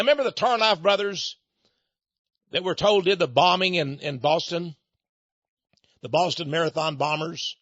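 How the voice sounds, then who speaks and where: A man's voice: high voice, fairly bright tone, dry recording, dull audio. A man hosting a radio talk show, delivering a rambling, sarcastic monologue straight to his listeners. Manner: sarcastic, mocking; rambling, addresses listeners as folks. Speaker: radio host.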